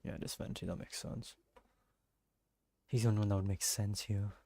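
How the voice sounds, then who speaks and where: A man with a gravelly, husky voice doing casual gameplay commentary, musing aloud in halting bursts. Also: on the low side, fairly even intonation, mellow. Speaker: game streamer.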